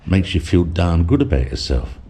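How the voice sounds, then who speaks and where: A man's voice: low-pitched, big pitch swings, moderate pace, dark tone, breathy edge. A middle-aged man reading a reflective voice-over with wry asides. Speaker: vlogger narrator.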